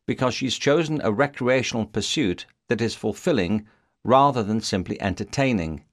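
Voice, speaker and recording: A man narrating a nonfiction audiobook in a measured, steady reading. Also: fairly low pitch, dry recording, studio quality.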